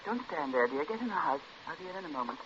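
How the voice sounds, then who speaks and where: A woman in a vintage radio play, tender yet firm and anxious for a child. Her delivery swings expressively, through a dull recording with clear hiss. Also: low-pitched, expressive, fast talker. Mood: indignant. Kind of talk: 1950s radio drama acting, dramatic.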